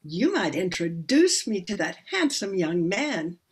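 Slow, effusive older matchmaking aunt played by a woman. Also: on the low side, big pitch swings. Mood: fond, nosy. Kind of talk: old-time radio play acting. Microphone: video call. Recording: faint noise on video call.

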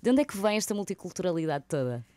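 A young adult woman with a European Portuguese accent hosting a casual radio interview, lively and playful and quick to laugh. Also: fairly low pitch, no echo. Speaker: radio host. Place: radio studio. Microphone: studio mic with headphones.

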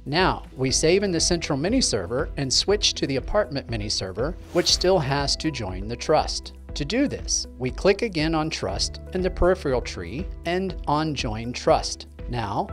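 A man, giving a scripted step-by-step tech tutorial as presenter. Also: fairly high pitch. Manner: clear step-by-step explaining. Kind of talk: scripted instructional narration.

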